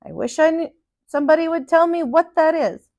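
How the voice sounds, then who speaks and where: A woman's voice: very high voice, fairly quick, darkish tone, dry recording, voice pushed up. A woman voicing a bold, suspicious male gingerbread pirate captain from a storybook, blustery and commanding. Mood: curious, suspicious.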